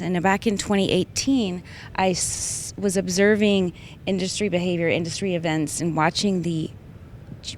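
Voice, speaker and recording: Earnest woman with a lowish voice. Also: slight background noise. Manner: earnest storytelling with light laughs. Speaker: guest, wellbeing leader. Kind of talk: interview answers. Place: trade show venue.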